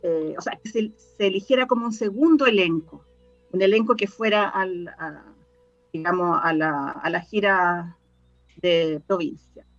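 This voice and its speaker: A woman's voice: lowish voice, unhurried, lo-fi sound. A woman with a Chilean accent explaining things casually as an interview guest, often searching for words. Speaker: interview guest, radio drama scriptwriter.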